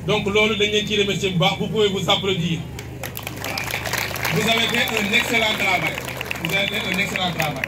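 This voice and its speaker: Man, school official, lecturing pupils; high voice, distant mic, loud background noise. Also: a little fast, a loud crowd. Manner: didactic, repeats key points, asks rhetorical questions. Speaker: school official addressing students. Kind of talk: speech to students at a school event.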